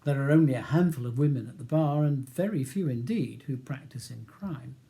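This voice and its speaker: A man's voice: mid-range voice, fairly quick, creaky, mellow, lightly acted. A man voicing a courteous, reserved male barrister in an audiobook, with polite, educated, slightly hesitant speech. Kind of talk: audiobook character dialogue.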